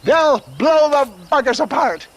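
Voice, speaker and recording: Pompous old huckster, very high voice, swooping intonation, cowardly and wheedling, florid game-cutscene patter. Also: male voice, big pitch swings, slow, fairly bright tone, full character voice. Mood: smug, scheming. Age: older. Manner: florid, theatrical salesman patter, stammering excuses. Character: old swindling snake-oil salesman, pompous, cowardly, wheedling. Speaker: elixir peddler. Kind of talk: dramatic video game cutscene acting.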